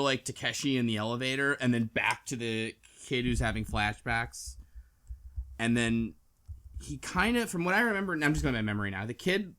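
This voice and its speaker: A man with an average-pitched voice talks as a podcast co-host in a casual discussion. He recaps a story in loose, conversational phrases, often stopping to reword or correct himself. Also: a bit bright. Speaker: book club podcast co-host. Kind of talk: casual chat, recapping a visual novel.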